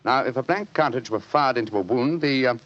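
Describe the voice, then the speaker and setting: An actor playing a confident, analytical English gentleman detective, precise and assured, in 1940s radio mystery style. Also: medium pitch, a little fast, close to an everyday voice. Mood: triumphant. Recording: muffled old 1940s radio broadcast.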